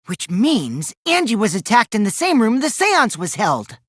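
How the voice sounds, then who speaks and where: A man's voice: sits high, huge pitch swings, a little slow, fairly bright tone, no room sound, clean studio sound, heavily acted. An actor playing a mischievous, taunting teenage boy in a video game, theatrical and teasing, with a youthful boyish voice that can turn from cutesy to cold. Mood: playful, calculating. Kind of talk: animated game dialogue in a murder-mystery trial.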